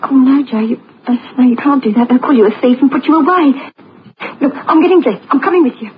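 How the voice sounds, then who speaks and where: A woman's voice: sits high, lively intonation, fast. An actress playing a worried, protective British wife in an old radio play, urgent and emotive in her delivery. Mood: urgent, anxious. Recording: dull, faintly noisy old radio recording.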